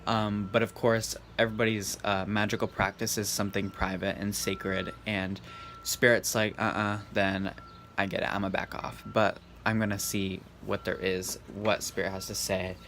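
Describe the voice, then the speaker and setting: Monotone, serene man reading tarot. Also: lowish voice, moderate pace. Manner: serene and calm. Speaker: tarot reader. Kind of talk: casual intuitive tarot reading. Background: faint background noise.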